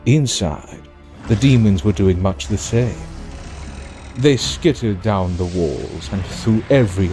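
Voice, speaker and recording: A man playing a sardonic, amused villain who narrates an audio drama in a theatrical, mocking, drawn-out way. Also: on the low side, mellow. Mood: wry, theatrical.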